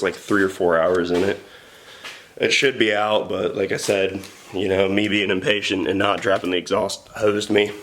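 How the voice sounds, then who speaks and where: A young man with a stuffy nose from a cold, chatting loosely and profanely about car repairs in his home garage. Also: on the low side. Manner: loose, profane, rambling with many fillers. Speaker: car builder vlogger. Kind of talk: casual garage vlog.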